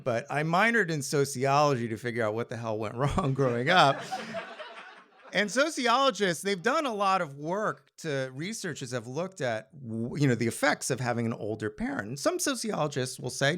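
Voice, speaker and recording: Guy telling a personal story at a live show, very lively pitch, halting and self-deprecating.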